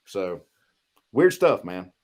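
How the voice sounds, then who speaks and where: A man's voice: deep voice, very animated, slow pace. Folksy man telling spooky stories casually. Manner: folksy, slangy, says "dude" and "man". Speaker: podcast guest telling spooky personal stories. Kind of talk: casual storytelling chat.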